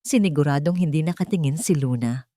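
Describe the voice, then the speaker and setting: A woman's voice: deep voice, a little fast, mellow, dry recording, studio quality. A woman giving a dramatic scripted narration of an audio drama.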